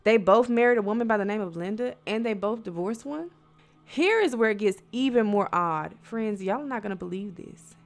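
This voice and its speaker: A woman's voice: medium pitch, lively intonation, normal speed. An American woman storyteller telling eerie bedtime stories in a conversational way, addressing listeners as friends with dramatic emphasis and rhetorical questions. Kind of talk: spooky bedtime storytelling with casual asides. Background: music.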